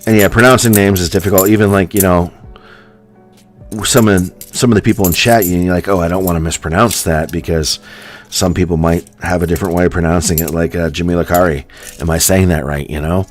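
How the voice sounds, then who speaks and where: Low-voiced, rambling American man. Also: steady tone, a little fast, soft background music. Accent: General American. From United States. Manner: rambling, filler-heavy, with many ums and likes. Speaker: coin hunting streamer. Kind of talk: casual chat to a live audience.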